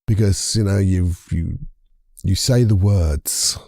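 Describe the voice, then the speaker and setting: A man with a croaky voice hosting a casual solo podcast, chatty and rambling, correcting himself as he goes. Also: sits low, fairly even intonation, slow, dark tone, dry recording, clean studio sound. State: croaky voice from a lingering cold. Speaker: podcast host and hypnotherapist.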